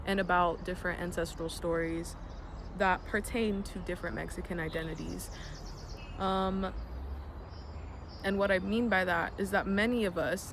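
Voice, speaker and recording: Earnest young vlogger, noisy background. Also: medium pitch. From United States. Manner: earnest, reflective, with frequent ums and casual swearing. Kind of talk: reflective personal vlog. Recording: noisy background with birds and passing vehicles.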